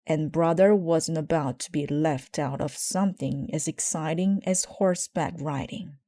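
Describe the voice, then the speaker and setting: Female storybook narrator, mellow tone, slow and deliberate read, dry sound. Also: deep voice, no echo. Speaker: storybook reader. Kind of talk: scripted children's story narration, read aloud.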